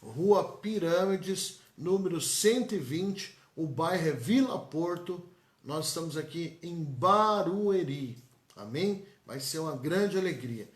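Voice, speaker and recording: Echoey-voiced São pastor. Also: male voice, a little high, lively intonation, slow pace. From São Paulo, Brazil. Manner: emphatic and repetitive, folksy asides to viewers. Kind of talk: live-streamed church announcements and blessings. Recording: echoey church room.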